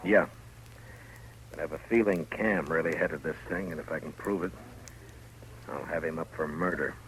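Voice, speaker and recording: An American actor playing a steady, dogged Old West marshal, laconic and firm, in 1950s radio drama style. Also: male voice, rumbling low, moderate pace. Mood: grim, terse. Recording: noisy old radio recording.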